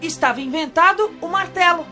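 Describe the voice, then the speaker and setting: A plucky young boy with a high voice. He is an earnest, confident grandson in a children's radio drama, with music playing clearly behind him. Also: brisk, sharp tone. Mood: keen, thoughtful. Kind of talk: children's radio play acting.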